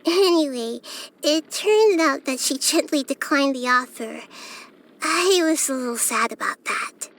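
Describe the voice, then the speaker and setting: A small child-like goddess from a fantasy video game, her voice high and girlish. She speaks gently and thoughtfully, like someone far wiser than she sounds. Her phrasing is measured and earnest, with a steady curiosity about people and the world. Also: exaggerated voice. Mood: earnest, wistful. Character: small wise young girl deity, gentle and curious. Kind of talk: scripted video game character voice lines.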